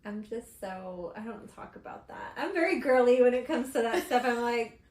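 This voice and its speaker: A woman's voice: fairly high pitch, normal speed. Chatty laughing woman in an echoey room. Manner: chatty, laughs often, animated. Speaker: podcast co-host. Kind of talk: casual chat.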